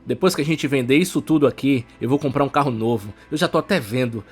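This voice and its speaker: A man's voice: mid-range voice, quick pace, slightly rough, close to an everyday voice. A man voicing an easygoing young brother, practical and casual, as an audiobook character, with music behind. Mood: cheerful, teasing. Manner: casual, animated.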